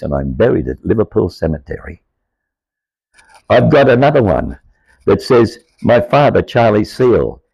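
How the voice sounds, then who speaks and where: An older Australian man with a croaky, aged voice, telling his life story to camera in an earnest, rambling way. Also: on the low side, darkish tone, no echo, low-quality recording. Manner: earnest, rambling, grows insistent. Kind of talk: personal monologue to camera.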